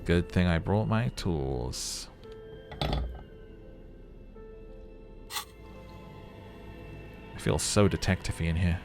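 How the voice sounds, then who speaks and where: A man's voice: low voice, expressive, unhurried, vocal fry, music in the background, full character voice. A man voicing a scatterbrained, curious, self-pleased detective in a video game, with a naive questioning manner.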